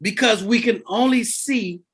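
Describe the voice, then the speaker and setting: Man, fifties, high-pitched, bright forceful tone, animated podcast guest, emphatic. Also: measured pace. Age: 50s. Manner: animated, emphatic, repeats key words. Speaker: guest, insurance and debt author. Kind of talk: explaining finance in a podcast interview.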